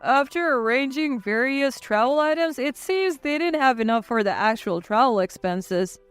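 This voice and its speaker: Female story narrator, high voice, swooping delivery, close dry sound. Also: moderate pace, clean tone, no room sound, soft background music. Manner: animated, dramatic delivery. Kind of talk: scripted first-person drama narration.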